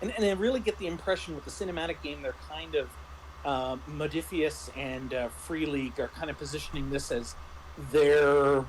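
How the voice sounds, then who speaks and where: A man with a fairly high voice talks through a game in a casual, explanatory way. He often restarts and rephrases his thoughts, with faint noise behind him. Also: normal speed. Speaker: game master. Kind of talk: casual review discussion.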